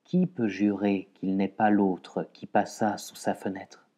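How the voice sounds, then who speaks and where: Man, poetry reading, slow pace, lowish pitch, measured phrasing with pauses. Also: flat delivery, mellow. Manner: measured, pausing between short phrases. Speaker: poetry reader. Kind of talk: poetry recitation.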